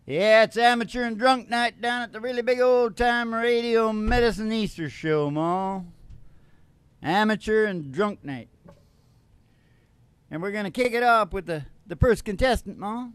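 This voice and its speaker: A man's voice: high voice, measured pace, smooth, no room sound. A man hosting a country comedy radio show, rambling along in folksy old-time storytelling banter. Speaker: radio show host and singer. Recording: loud acoustic guitar behind the voice. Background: acoustic guitar strumming.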